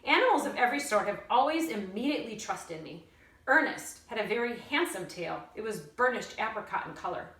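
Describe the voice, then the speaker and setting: A woman teacher reading a story aloud in an expressive storytelling style. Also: mid-range voice, fairly quick. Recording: roomy echo, distant mic.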